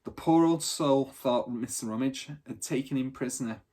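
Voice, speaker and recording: A man narrating a children's storybook aloud in an expressive, teacherly reading style. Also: medium pitch, normal speed.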